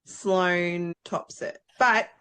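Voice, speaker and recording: A woman's voice: lowish voice, steady tone, very slow, bright, forceful tone, clean tone. A woman co-hosting a book club podcast, chatting casually with a playful, cheeky manner.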